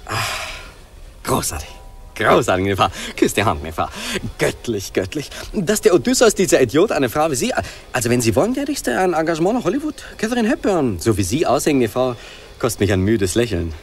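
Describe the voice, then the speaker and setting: Gushing flatterer, swooping pitch. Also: male voice, faint music behind. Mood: gushing flattery. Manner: effusive, halting, theatrically charming. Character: gushing, flattering, brash showman courting a nymph. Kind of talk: German radio play acting.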